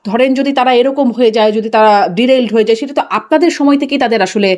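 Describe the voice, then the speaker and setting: High-pitched, quick-talking male interviewer. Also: darkish tone. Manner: challenging, presses the guest. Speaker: talk show interviewer. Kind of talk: probing interview questions.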